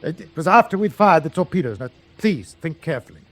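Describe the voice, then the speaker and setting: An actor playing a courteous but embattled submarine captain in his forties, formal and measured, in a wartime radio drama. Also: on the high side, expressive. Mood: urgent, commanding. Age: 40s. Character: forty-year-old German U-boat captain, courteous but embattled.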